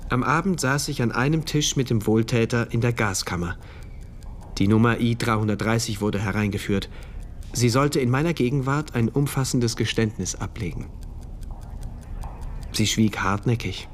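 A male actor playing an introspective, detached diarist, narrating a radio drama in terse, measured phrases. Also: medium pitch, slight background noise, lightly acted.

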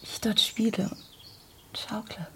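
An actress playing a dreamy woman in a radio drama, recalling memories in an intimate, breathy whisper. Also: mid-range voice, flat delivery, slow pace, pushed and bright. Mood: dreamy, wistful. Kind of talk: modern radio drama acting.

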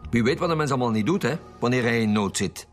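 An actor playing a stern, insistent police commissioner in a radio crime drama, speaking with a Flemish accent. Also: male voice, mid-range voice, animated, fast talker, lightly acted. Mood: probing. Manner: blunt, insistent. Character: stern, pressing male police commissioner leading interrogations. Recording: dramatic music behind the voice.

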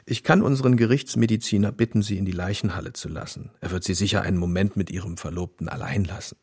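An actor playing a dry, tactful, world-weary police detective in an audio drama, with a measured, husky delivery. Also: male voice, sits low, darkish tone. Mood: tactful, calm. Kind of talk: German audio drama acting.

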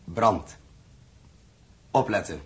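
An actor playing a practical spaceship captain in a 1960s Dutch radio play, giving brisk orders and easygoing asides. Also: male voice, on the low side, lively intonation, fairly bright tone, breathy edge, heavily acted. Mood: commanding. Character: commanding, practical spaceship captain running his crew.

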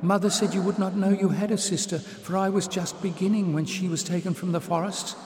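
A man voicing a timid young slave girl with a soft, breathy, sighing voice. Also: on the low side, fairly even intonation, a little fast, echoey room, long reverb tail, voice pushed up, lightly acted. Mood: eager rushing.

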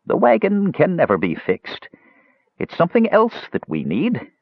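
A man's voice: high-pitched, wildly swooping pitch, measured pace, vocal fry, dry recording, muffled recording, pitched above the performer's own voice, full character voice. A man voicing an aged demon hag in a storybook, with a cracking voice, pleading with feigned weakness and underlying menace. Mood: sharp insistence.

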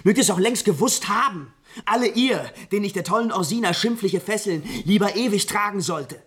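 A husky-edged young prince in a 1950s radio drama. He is imperious and lovesick, speaking emphatic with widely swinging pitch and theatrical diction. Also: expressive, brisk, a bit bright, full character voice. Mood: anguished, furious. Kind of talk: 1950s German radio play acting.

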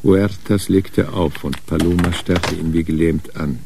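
Low creaky male narrator for a crime radio drama, clear noise behind him. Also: fairly even intonation, a little slow. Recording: clearly noisy background.

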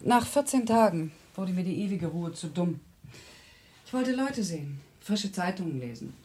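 A woman's voice: on the low side, animated, normal speed, mellow, slightly rough. An actress playing an educated young Russian woman who recalls events reflectively and precisely in a radio drama. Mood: wry. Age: young adult. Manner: reflective, precise, descriptive storytelling. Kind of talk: radio drama monologue as recollection.

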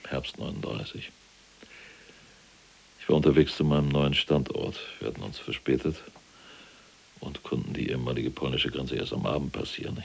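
An actor playing an imprisoned former SS general in a radio play, cold and self-righteous, telling his story in a deliberate, dramatic way. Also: exaggerated voice. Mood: brooding, hushed. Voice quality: very deep, gravelly, husky. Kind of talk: German radio play acting, monologue.

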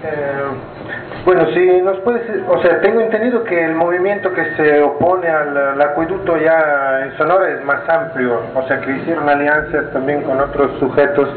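A man's voice: a little high, fairly even intonation, normal speed, crowd noise. Hesitant man in an echoey hall. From Italy. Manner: hesitant, searching for words. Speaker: audience member asking questions. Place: meeting hall with an audience. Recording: echoey room over a tinny lo-fi line.

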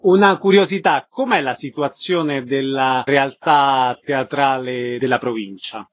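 A man acts as the interviewer on a radio programme, putting questions to a guest. His voice is mid-pitched. The sound is close and dry, with a slightly dull, lo-fi finish. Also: unhurried, dry recording.

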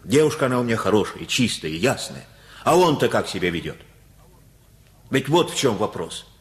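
A man's voice: fairly low pitch, very animated, normal speed. An older male actor in a radio play, playing an anxious, simple-hearted working-class father who talks in a folksy, hesitant, colloquial way. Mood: troubled, confiding.

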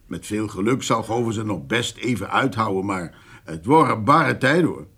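An actor playing a wheedling, self-important farmer in a radio drama, with a husky voice and a placating, insinuating manner. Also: male voice, lowish voice, lively intonation, normal speed, a bit bright, heavily acted. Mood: gossipy, insinuating. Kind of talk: naturalistic radio drama acting.

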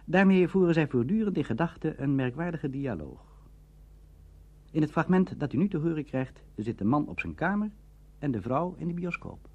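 Formal lecturer guy, bit husky, really animated pitch, explains stuff rhetorically, muffled old radio recording. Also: moderate pace, slight background noise. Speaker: presenter of a radio drama lecture. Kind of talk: scripted explanatory lecture narration.

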